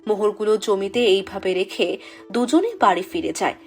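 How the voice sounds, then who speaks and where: A woman narrating a fairy tale with an expressive, storytelling delivery. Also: mid-range voice, a little fast, soft background music.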